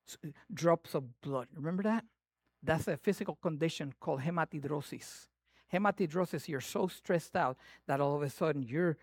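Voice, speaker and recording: A male preacher with a Latin American Spanish accent delivers a lively sermon. His voice sits high for a man and sounds close and dry. He is playful and animated, swinging between loud, punchy emphasis and quiet, hushed asides. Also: no echo. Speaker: pastor.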